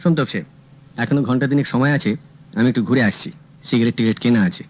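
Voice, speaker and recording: An actor playing a sharp, composed private detective who talks crisply and with dry wit, in a vintage radio drama. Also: male voice, mid-range voice, fairly even intonation, fast talker, highs cut off, lightly acted. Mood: calm. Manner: crisp, confident, dryly witty.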